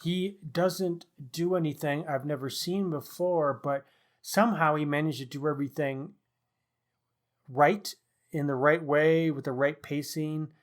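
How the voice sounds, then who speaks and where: A man with a voice a little higher than average co-hosts a book talk. He is casual and conversational, musing aloud as he works through his thoughts. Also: fairly even intonation, unhurried. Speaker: podcast co-host reviewing crime novels.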